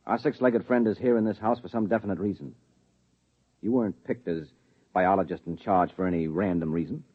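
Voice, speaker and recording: An actor playing a secretive, curt husband and government agent, speaking in a clipped, guarded 1950s radio drama style, on a dull old broadcast recording. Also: male voice, deep voice, brisk, husky.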